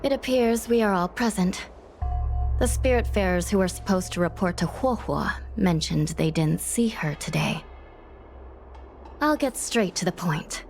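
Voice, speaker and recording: A woman playing a serious, level-headed investigator in a video game, speaking in a formal, measured, resolute way. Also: fairly low pitch, animated, creaky, a little mellow, faint music behind. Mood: grave.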